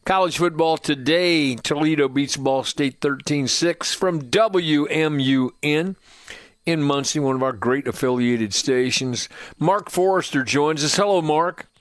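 A man with a slightly high voice hosts sports talk radio in a conversational style. The sound is dry and close. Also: a little slow, no echo.

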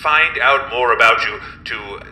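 A man playing an eccentric professor running an experiment on a space station, grandiose and halting with many uhs. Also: medium pitch, pushed and bright, roomy sound, slight background noise, rough recording. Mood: grand.

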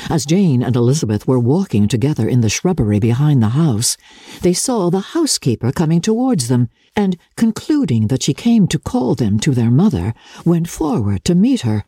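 A woman from Canada narrating a classic novel in an expressive, measured, dramatic reading style. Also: extremely low pitch, slightly rough, no echo, clean studio sound. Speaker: audiobook narrator, actress and radio host.

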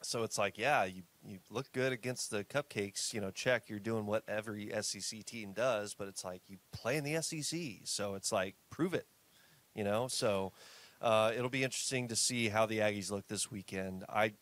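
A man's voice: on the low side, moderate pace, a bit bright. A man in his early thirties co-hosting a sports podcast, easygoing and hedging with lots of filler. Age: 30s. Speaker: podcast co-host. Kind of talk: casual sports chat.

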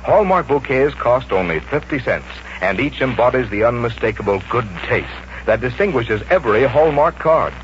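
Male radio announcer, animated polished sales read, 1940s style, dull old recording, faint music. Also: medium pitch, moderate pace, hardly any pauses, a little hiss or hum. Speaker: announcer reading sponsor advertisement. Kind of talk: 1940s radio scripted advertising.

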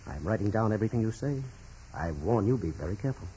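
An actor playing a brusque, officious police commissioner, clipped and interrogating, in a 1940s radio drama. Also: male voice, lowish voice, expressive, fast, dark tone, lightly acted. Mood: menacing, officious. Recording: dull, noisy vintage radio.

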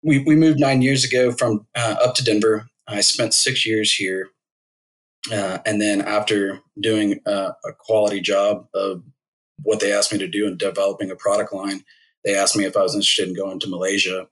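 A man in his thirties, a podcast guest talking about his career in a measured, thoughtful way with many fillers. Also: lowish voice, flat delivery, a bit bright, low-quality recording. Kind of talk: casual interview.

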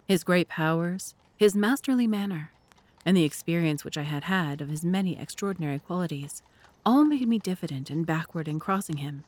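A woman with a fairly low voice narrates an audio drama. Her delivery is scripted, literary and formal, with measured phrasing. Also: lively intonation, darkish tone, no echo.

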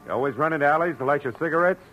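An actor playing a wry, tough private detective in a 1940s radio drama, terse and clipped. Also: male voice, fairly high pitch, very fast, a little hiss or hum, natural voice. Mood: tough, threatening. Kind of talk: 1940s radio acting, first-person noir narration. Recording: dull old radio recording, music behind.

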